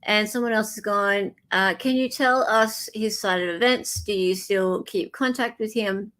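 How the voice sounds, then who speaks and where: A woman's voice: medium pitch, a little slow, fairly bright tone. An Australian woman hosting a casual live stream, chatting in an informal, scattered way.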